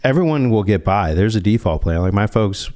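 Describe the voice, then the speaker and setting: A man's voice: on the low side, animated, a little fast, darkish tone. A man speaking as a financial advisor in a conversational interview, explaining step by step and posing rhetorical questions.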